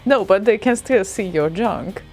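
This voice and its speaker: A Hungarian-accented woman co-hosting a relaxed radio chat, lively and exclaiming, quick to laugh. Her voice sits at a middle pitch for a woman, with faint music underneath. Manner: animated, exclaiming, laughs often. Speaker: radio co-host. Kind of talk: casual chat.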